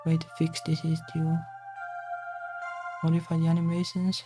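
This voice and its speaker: A woman's voice: deep voice, completely flat delivery, moderate pace. A woman livestreaming as a game developer, casually thinking aloud to herself in short, hesitant fragments as she works. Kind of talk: casual thinking aloud while working on stream. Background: music playing behind the voice.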